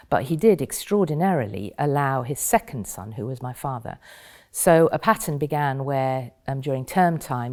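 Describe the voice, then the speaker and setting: Very low-voiced woman bishop from Iran. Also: a little mellow. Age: 50s. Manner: measured and thoughtful, with frequent ums. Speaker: bishop, podcast guest. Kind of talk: reflective interview storytelling.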